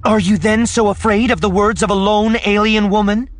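A man voicing a regal, defiant princess and diplomat in an audiobook, with loud, formal, declamatory speech. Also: high-pitched, fairly even intonation, normal speed, voice pushed up, close to an everyday voice. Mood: bold, challenging.

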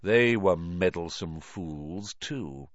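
A man's voice: low-pitched, lively intonation, a little fast, a bit bright, no room sound, lo-fi sound, voice pushed down. A man voicing a cold, haughty aristocratic villain in an audiobook, menacing and imperious. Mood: soft menace. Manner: sneering, imperious.

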